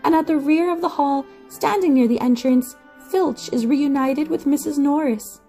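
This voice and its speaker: A woman's voice: sits high, normal speed, warm tone. A woman giving dramatic scripted narration for an audio play, with music behind her.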